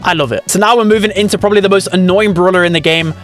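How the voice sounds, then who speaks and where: A man's voice: high voice, very fast, over music. A man hosting a casual, opinionated ranking commentary in a chatty, informal way with exaggerated opinions. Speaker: video creator and host.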